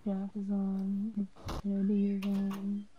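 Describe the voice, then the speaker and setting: A woman's voice: mid-range voice, completely flat delivery, slow pace, lo-fi sound, a little hiss or hum. A woman murmuring to herself while looking through a game menu with friends. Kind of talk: casual gaming chat.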